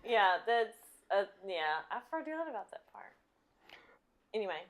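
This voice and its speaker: A woman's voice: mid-range voice, big pitch swings, unhurried, dull audio. A woman with a strong Southern US accent co-hosting a podcast, talking in an enthusiastic, chatty way and laughing often. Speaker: podcast co-host. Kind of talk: casual chat.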